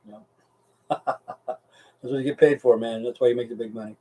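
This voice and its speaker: Mellow-voiced, foul-mouthed American man rambling. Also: mid-range voice, steady tone, a little fast, far from the mic. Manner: profane, blunt, folksy. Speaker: live stream host. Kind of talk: casual rambling chat with viewers.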